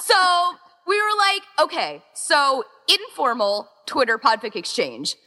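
A woman's voice: sits high, very animated, slow pace, pushed and bright, big-room sound. A woman chatting casually and informally as a podcast interview guest, peppering her talk with "like". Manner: chatty, informal, heavy use of "like". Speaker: interview guest, event co-founder.

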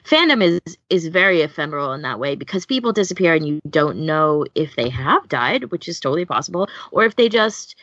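A woman's voice: deep voice, big pitch swings, brisk, few pauses, fairly bright tone, no room sound. A woman podcast host chatting casually in a blunt, emphatic way on a lo-fi recording. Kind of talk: casual chat. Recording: dull lo-fi audio.

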